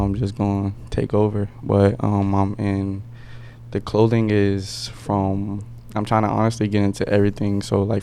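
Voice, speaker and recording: A man's voice: on the low side, warm tone, smooth. A young man from New York City answering interview questions in a laid-back way, with "you know what I'm saying" fillers. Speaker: entrepreneur guest.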